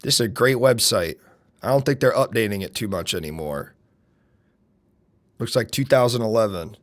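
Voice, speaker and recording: A young man with a deep voice, streaming and chatting casually, loud and brash, swinging from shouts to mutters. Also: normal speed. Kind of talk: casual live-stream chat.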